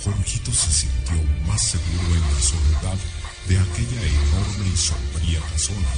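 A man playing a grave, sinister storyteller with a Mexican accent, narrating a horror radio drama slowly and ominously. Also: low-pitched, natural voice. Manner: slow, ominous, deliberate. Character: grave, sinister male teller of a horror tale. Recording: loud music under the voice. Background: loud music.

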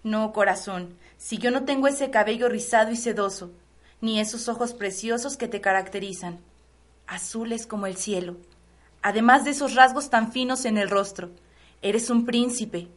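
A woman's voice: on the high side, moderate pace, natural voice. A woman acting a doting, devout middle-aged mother in a radio soap opera, with a Mexican accent and a tender, melodramatic delivery. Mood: tender, proud.

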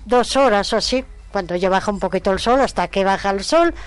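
A woman talks about her allotment on a radio show. Her voice sits at an ordinary middle pitch. She answers in short, simple, homely sentences. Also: brisk, smooth. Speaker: community gardener. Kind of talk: radio interview guest.

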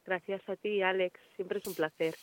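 A woman singer as an interview guest, answering in a thoughtful, hesitant way with many pauses and fillers. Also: medium pitch, steady tone. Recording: tinny dry phone-like line.

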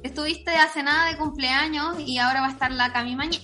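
High-voiced young Chilean woman chatting casually. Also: fairly even intonation, a little fast, bright, forceful tone, faint music behind. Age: young adult. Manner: informal, slangy, swears freely. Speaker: podcast host. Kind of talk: casual chat among friends.

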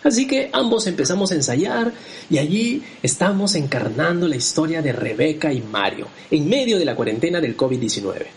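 Man introducing a radio play, lively sing-song delivery, faint room noise. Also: a little high, fairly quick. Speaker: host and director. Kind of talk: scripted podcast introduction.

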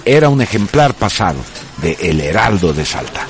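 A man's voice: low voice, big pitch swings, normal speed. A man reading scripted dramatic narration for a radio serial in a grave, formal manner.

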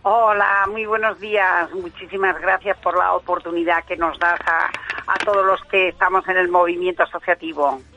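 Bright-voiced older woman on the phone. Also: lowish voice, fairly even intonation, moderate pace, slight background noise. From Andalusia, Spain. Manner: emphatic, repeats words. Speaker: radio guest, association president. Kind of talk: phone interview. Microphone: telephone. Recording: dull, dry phone line.

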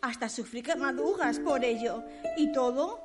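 A woman's voice: high voice, animated, a little slow, loud music behind the voice. A woman playing a proud, indignant scientist in a comic radio drama. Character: proud, indignant female scientist at a card table.

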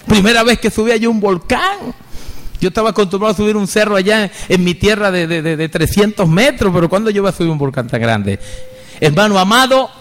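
A man's voice: high-pitched, animated, a little fast, a bit bright, in a large hall. A middle-aged man from Venezuela, a pastor preaching with fervor and personal testimony, calling his listeners brother again and again. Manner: fervent, repeatedly addresses listeners as brother.

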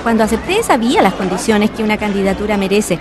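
Woman playing a lively young queen candidate. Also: medium pitch, fast talker, lightly acted. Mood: chatty. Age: young adult. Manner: lively, warm-hearted, speechmaking. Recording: radio drama with music and crowd. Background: music and crowd at a party.

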